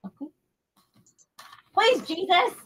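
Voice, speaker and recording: Very high, bright-voiced woman gamer. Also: very animated, slow pace, slight background noise. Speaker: player in a tabletop roleplaying game. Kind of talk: casual game chat.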